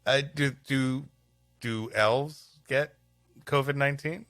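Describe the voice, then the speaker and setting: A man's voice: medium pitch, slow pace, a bit bright, no echo, clean studio sound, faint music behind. A man hosting a comedy podcast with casual, playful banter. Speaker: podcast host.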